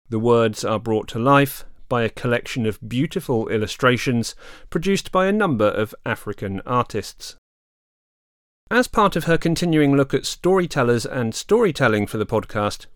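A man hosting a podcast, reading a measured, earnest scripted introduction. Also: medium pitch, smooth, no room sound, studio quality. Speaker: podcast host.

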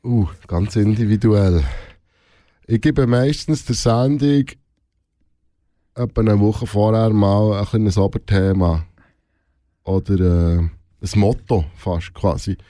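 Male radio presenter as interview guest, Swiss German accent, soft dark tone, dry sound, casual and searching delivery. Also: lowish voice, slow, pauses often, no echo. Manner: hesitant, rephrasing often, repeating words mid-sentence. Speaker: radio host being interviewed.

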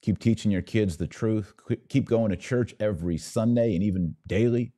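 A man hosting a religious podcast, speaking in earnest exhortation with deliberate repetition, like a preacher addressing his audience. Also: lowish voice, mellow.

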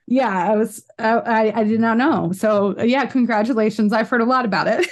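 An American woman in her thirties hosts a podcast with an easy, talkative manner. Her voice sits a little high, and she wanders into side notes between laughs. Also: normal speed. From United States. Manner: chatty, rambling side notes, frequent laughs. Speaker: podcast host. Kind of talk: casual interview chat.

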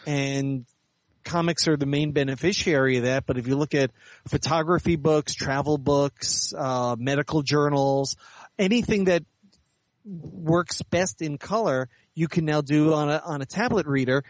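Higher-pitched man explaining things in an interview. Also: measured pace, no echo. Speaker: interview guest, author and editor. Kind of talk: conversational interview answers.

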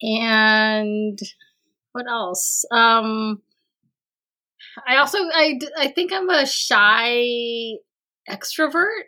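Slow, hesitant woman with a bright voice. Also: fairly high pitch. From Vietnam. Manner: hesitant, many fillers and restarts, laughs often. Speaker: podcast guest, university administrator. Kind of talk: casual conversational interview.

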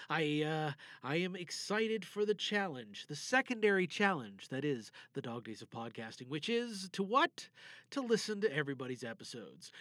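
Bright-voiced, enthusiastic male podcast host. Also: high voice, no room sound. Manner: enthusiastic, informal, emphatic. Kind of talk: casual podcast announcement.

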